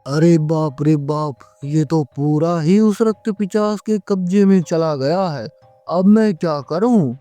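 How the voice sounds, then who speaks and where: A man acts out a fretful village politician in a horror cartoon, high in pitch and soft-edged in tone. He exclaims and pleads in homely speech, captured dry and close. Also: unhurried, no echo, soft background music, lightly acted. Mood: alarmed. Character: worried village politician protecting his servant, excitable male. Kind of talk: animated horror story dialogue.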